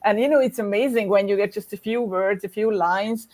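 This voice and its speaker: A woman from Hungary, talking as a guest artist on a video call in a warm, chatty way with laughs between her words. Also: medium pitch, a little fast. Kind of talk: casual interview talk.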